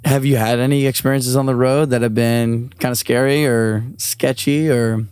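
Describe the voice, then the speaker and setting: A podcast host with a somewhat raspy voice, pitched in the middle, asks long and winding questions. The host keeps up a steady stream of soft "mm-hmm" and "yeah" between the guest's answers. The recording is dry and close-miked. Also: normal speed, no echo. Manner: warm backchannels, long rambling questions. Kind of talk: casual interview questions.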